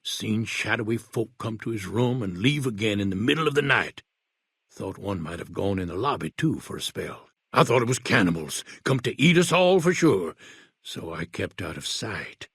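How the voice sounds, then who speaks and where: A raspy, paranoid old wasteland crank. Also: male voice, fairly low pitch, very animated, normal speed, fairly bright tone, dry recording, studio quality, heavily acted. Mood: rambling. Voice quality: folksy drawl, ungrammatical backwoods talk. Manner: suspicious, conspiratorial, jumps between whispers and outbursts. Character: paranoid rambling old male wasteland eccentric. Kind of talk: video game voice acting.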